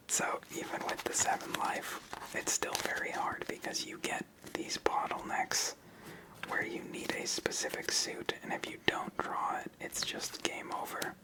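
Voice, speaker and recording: A person whispering gentle step-by-step ASMR commentary while playing a solitaire card game as host. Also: a little slow, rough recording.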